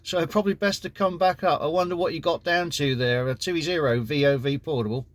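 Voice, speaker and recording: A man's voice: on the high side, fairly quick. A man with an English accent chatting courteously as an amateur radio operator during a casual contact. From United Kingdom.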